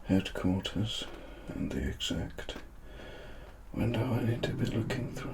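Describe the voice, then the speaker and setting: A British man performing a softly spoken ASMR roleplay in a breathy, mostly whispered voice, speaking deliberately with pauses mid-sentence. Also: lowish voice, noisy background.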